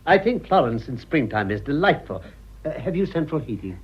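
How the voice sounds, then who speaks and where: An actor playing a suave, ironic older gentleman with an upper-class English accent, teasing with mock politeness in a radio play. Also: on the high side, very animated, fairly quick, breathy edge. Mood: mock-polite. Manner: urbane, mock-polite, dry. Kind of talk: stage comedy radio acting. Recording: dull, muffled old radio drama.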